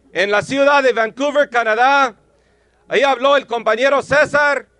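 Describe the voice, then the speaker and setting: A man, delivering a rousing union rally speech and shouting slogans to a crowd. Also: very high voice, no echo.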